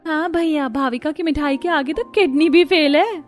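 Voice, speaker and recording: A woman's voice: high voice, normal speed, soft background music, pitched above the performer's own voice, close to an everyday voice. A woman voicing a playfully mocking young sister in a cartoon story. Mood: teasing. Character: teasing, cheeky young sisters. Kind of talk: Hindi cartoon story acting.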